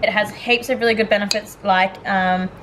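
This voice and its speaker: A woman's voice: medium pitch, steady tone, fairly quick, noisy background. Chatty Australian woman vlogging to camera. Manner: chatty, upbeat, rambling with fillers. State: out of breath after a workout. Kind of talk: casual vlog chat. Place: home kitchen and bedroom, also a gym. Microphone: handheld camera at arm's length.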